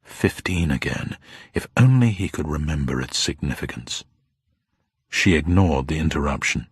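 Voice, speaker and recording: A man reading scripted fiction in a dramatic, expressive storytelling manner. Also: extremely low pitch, normal speed, husky, no room sound, clean studio sound. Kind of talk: scripted audiobook narration of a detective novel.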